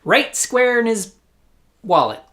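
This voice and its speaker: Lively, sarcastic American man. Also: very high voice, slow. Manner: sarcastic, mock-incredulous. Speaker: host of a satirical news commentary show. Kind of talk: scripted comedic news commentary.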